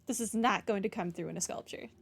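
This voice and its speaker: A woman's voice: mid-range voice, fast talker. A woman streamer giving casual, dry, offhand commentary over a game playthrough. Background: faint game music.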